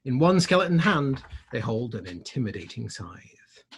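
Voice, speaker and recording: A man with a husky voice narrating a screenplay in a dramatic read-through. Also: mid-range voice, sing-song, normal speed, slight background noise. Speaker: script reader.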